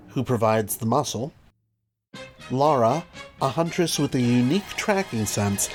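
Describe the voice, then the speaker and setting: A man's voice: medium pitch, darkish tone. A man presenting a scripted video review as host, with wry, dry asides. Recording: music behind the voice. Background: background music.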